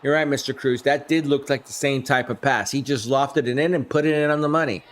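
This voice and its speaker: A man with a hoarse, flu-worn voice calling a video game football simulation play by play on a live stream, shouting on big plays and chatting with his viewers. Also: mid-range voice, steady tone, brisk, over loud music.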